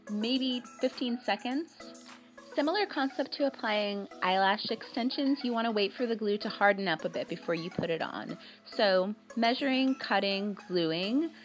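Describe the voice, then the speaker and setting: A woman giving casual voice-over narration for a hair tutorial, sounding slightly raspy and tired from a cold, explaining in a relaxed, chatty way. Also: fairly high pitch. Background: background music.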